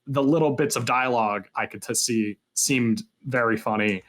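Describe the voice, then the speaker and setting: A man with a medium-pitched voice hosts a podcast interview. He talks casually and enthusiastically, wording things loosely as he goes. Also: moderate pace, a bit bright. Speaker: podcast host.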